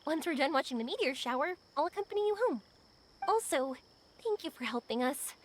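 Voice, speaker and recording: A woman playing a cheerful, warm-hearted young woman in an anime-style game, playful and expressive. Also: high voice, fairly quick, background noise. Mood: upbeat, playful.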